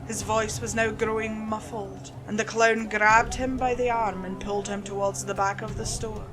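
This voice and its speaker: A woman's voice: medium pitch, little pitch movement, moderate pace, a crowd in the background. A woman reading a scripted first-person creepypasta story as narrator. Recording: music behind the voice.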